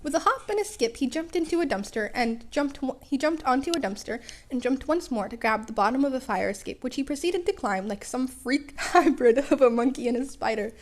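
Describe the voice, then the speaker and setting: A woman narrates a story in a slightly high voice. Her delivery is casual and homemade, with playful, wry asides and the odd stumble over the words. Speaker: podfic reader.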